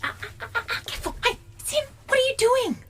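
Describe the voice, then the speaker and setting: A woman's voice: very high voice, expressive, brisk, heavy background noise. A woman playing a dramatic, flippant starship co-captain in an audio comedy, with breezy mock-managerial talk and a hunger for adventure. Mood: breezy, placating.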